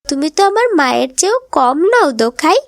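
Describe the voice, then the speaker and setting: Devoted little girl, cartoon melodrama. Extremely high voice, pleading and plaintive, dry recording. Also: sing-song, a little fast, creaky, no room sound, heavily acted. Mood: loving, earnest.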